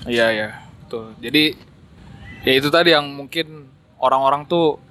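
A man chatting casually, agreeable and quick to put in short interjections. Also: medium pitch, fairly bright tone. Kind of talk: casual chat. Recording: noticeable background noise.

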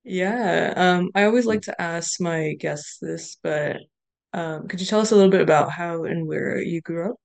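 A woman's voice: on the low side, normal speed, gravelly. A woman hosting a podcast in an easygoing way, asking guests open questions. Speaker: podcast host. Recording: music playing behind.

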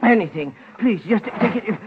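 Very high-pitched timid shopkeeper, muffled radio. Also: male voice, animated, fairly quick, background noise. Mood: desperate, pleading. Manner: nervous, stammering, pleading. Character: timid, frightened little male pawnshop owner, placating. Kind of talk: 1940s-50s radio crime acting. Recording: muffled old-time radio recording.

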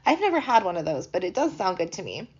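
A woman in her thirties from the American Midwest hosting a podcast in casual chat, chatty and animated, her voice hoarse from a sinus infection. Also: fairly low pitch, fast talker. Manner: chatty, animated, laughs easily. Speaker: podcast host. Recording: slightly dull lo-fi recording.

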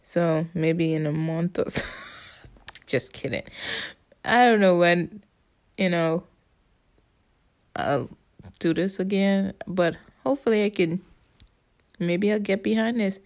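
A woman's voice: on the low side, slow pace, pauses often, darkish tone. A woman from the Bahamas with a Bahamian accent, rambling in a casual monologue, blunt and profane, with an exasperated edge. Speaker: vlogger.

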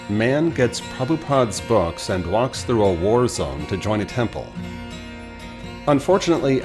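Low-voiced male podcast host. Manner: stammers and repeats when asking questions. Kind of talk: interview host, scripted intro then chat.

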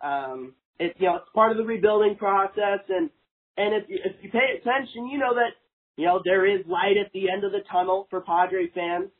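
High-pitched, husky young man interviewing. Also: normal speed. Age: young adult. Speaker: podcast interviewer. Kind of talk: casual sports chat. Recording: tinny, narrow phone-like sound.

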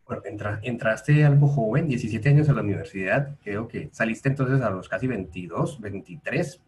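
A man's voice: on the low side, moderate pace, a little mellow, slight background noise. A man hosting an interview podcast, courteous and formal as he welcomes and questions his guest. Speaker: podcast host. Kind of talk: interview host.

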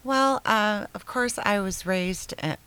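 An older woman from Michigan, a singer-songwriter guest, answering questions hesitantly with many pauses and small laughs. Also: mid-range voice, pushed and bright, clean tone. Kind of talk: casual interview chat.

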